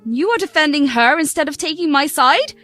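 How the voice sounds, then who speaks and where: A woman's voice: high-pitched, big pitch swings, a little fast, a bit bright, no echo, soft background music. A woman voicing a spoiled, cruel young woman about to marry, speaking scornfully and sharply. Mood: angry.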